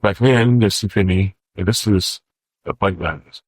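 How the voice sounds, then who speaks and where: A man, co-hosting a podcast and telling a rambling, relaxed story. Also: low voice, moderate pace, slightly rough, no echo. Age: 40s. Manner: relaxed, rambling, says "bro". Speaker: podcast co-host. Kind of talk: casual storytelling chat.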